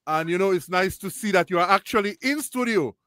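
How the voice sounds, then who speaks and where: A man's voice: sits high, lively intonation, a little fast, bright, forceful tone, no echo, studio quality. A man from Lucia, hosting an interview in an upbeat, chatty way and repeating his phrases. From Saint Lucia. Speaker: show host. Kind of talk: casual interview chat.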